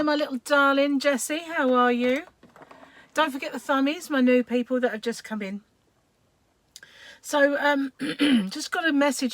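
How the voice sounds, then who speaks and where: Croaky woman chatting on a livestream. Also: a little high, no echo. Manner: chatty, greets viewers by name. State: croaky, clearing her throat. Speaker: crochet livestream host. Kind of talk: casual live-stream chat while crocheting.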